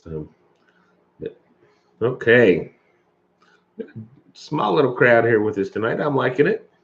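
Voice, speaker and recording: A man's voice: medium pitch, big pitch swings, slow, gravelly, highs cut off. A man hosting a live stream, chatting loosely with viewers, chuckling often and coughing from allergies. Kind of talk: casual chat with live viewers.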